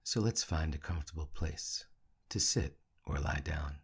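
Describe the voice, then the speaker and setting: A man leading a guided meditation in a hushed, soothing, gravelly and husky voice, leaving long pauses between short phrases. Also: extremely low pitch. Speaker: meditation teacher.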